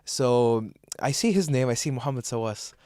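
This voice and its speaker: A young man from Saudi Arabia with a medium-pitched voice chats as a podcast co-host. He tells personal stories casually, rambling and hesitating as he goes. The sound is dry, with hardly any room on it. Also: expressive, moderate pace, dark tone, dry recording. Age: young adult. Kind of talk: casual chat, telling personal anecdotes.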